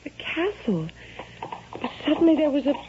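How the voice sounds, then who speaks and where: An actress playing a romantic, excitable young daughter of the 1890s in a radio drama, breathless and refined. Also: female voice, high-pitched, moderate pace. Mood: wonder, excited. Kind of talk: 1970s radio drama acting. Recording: noisy, dull vintage radio recording.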